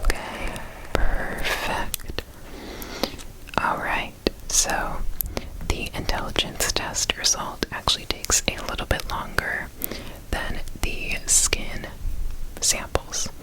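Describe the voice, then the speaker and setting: A woman whispering in a breathy, unvoiced voice, gently and reassuringly guiding the listener through an ASMR roleplay examination, often repeating words. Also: slow, poor audio. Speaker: ASMR performer playing an alien researcher.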